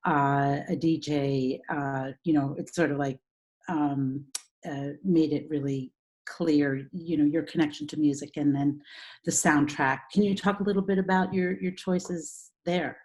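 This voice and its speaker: An older woman interviewing a filmmaker over a video call, asking halting questions full of fillers. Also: low voice, steady tone, a little mellow. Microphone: laptop webcam on a video call.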